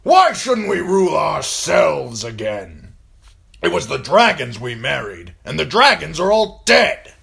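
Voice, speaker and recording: Fantasy audiobook role: massive fierce warlord, thunderous, scornful, raised shouting delivery. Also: male voice, on the high side, wildly swooping pitch, unhurried, creaky, a crowd in the background, voice pushed up, exaggerated voice. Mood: rousing defiance. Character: huge booming northern lord, fierce, defiant rebel. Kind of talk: audiobook fantasy character acting.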